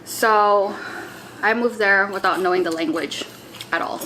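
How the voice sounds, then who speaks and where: A young woman talks brightly over a meal, and her voice sits in a middle range. She chatters on and laughs easily between remarks. Faint background noise runs under her voice. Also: mid-range voice, low-quality recording. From grew up in Indonesia, born in the US. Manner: chatty, lively, quick laughs. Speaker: co-host. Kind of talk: casual chat while eating.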